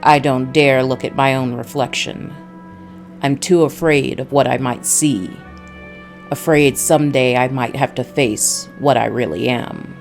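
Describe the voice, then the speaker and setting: A woman reading as a low, brooding noir detective. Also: steady tone, a little slow, faint music behind. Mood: haunted. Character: brooding, world-weary noir detective narrating his story. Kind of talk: scripted first-person noir narration.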